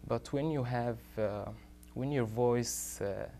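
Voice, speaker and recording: A young man from Egypt, a student answering interview questions haltingly, full of pauses and fillers. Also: mid-range voice, gravelly, warm tone, a little hiss or hum.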